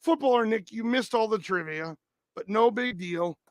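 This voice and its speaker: High-pitched man with a dry rasp. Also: animated, moderate pace, dry recording. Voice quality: dry, raspy voice. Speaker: livestream host. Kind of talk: casual livestream chat and clip commentary.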